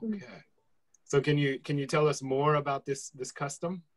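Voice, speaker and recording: A man's voice: on the high side, moderate pace. A man, moderating a casual panel and prompting guests with questions. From United States. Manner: friendly, prompting, with some restarts.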